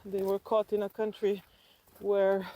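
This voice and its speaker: A woman from Slovenia with a Slovenian accent, telling personal stories reflectively in conversation and haltingly thinking aloud as an interview guest. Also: mid-range voice, monotone, mellow.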